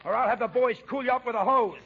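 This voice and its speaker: An actor playing a scornful, hot-headed little fire chief with a sharp, gravelly rasp, in 1940s radio comedy style. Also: male voice, extremely high pitch, quick pace, exaggerated voice. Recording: dull 1940s radio recording.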